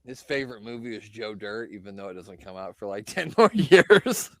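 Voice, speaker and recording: A man's voice: fairly low pitch, sing-song, moderate pace, no room sound. A man co-hosting a casual podcast, joking and laughing as he chats over an old wrestling broadcast. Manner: joking, laughs often, banters. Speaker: podcast co-host.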